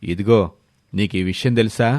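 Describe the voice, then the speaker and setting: A man voicing a mildly exasperated, news-bearing husband in an animated moral story. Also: on the low side, normal speed, no room sound, studio quality, pitched below the performer's own voice, close to an everyday voice.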